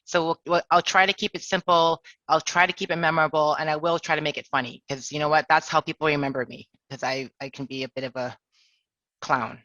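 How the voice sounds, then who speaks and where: A woman's voice: deep voice, fairly even intonation, brisk, a bit bright, no room sound, muffled recording. A woman presenting an online career workshop as an HR director, chatty and self-deprecating with jokes. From Toronto, Canada. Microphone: video call.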